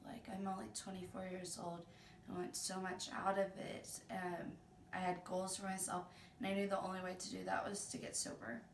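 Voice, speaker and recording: A woman's voice: on the low side, completely flat delivery, normal speed, a little hiss or hum. A woman in her twenties speaking hesitantly in a documentary interview, with many ums and likes. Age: 20s. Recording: echoey room, from a distance.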